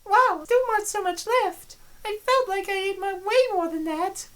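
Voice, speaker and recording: Someone voicing a very high-pitched, eager young woman. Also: measured pace, fairly bright tone. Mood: rationalizing. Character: eager, self-justifying young woman with a food obsession. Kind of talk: comic dub character acting.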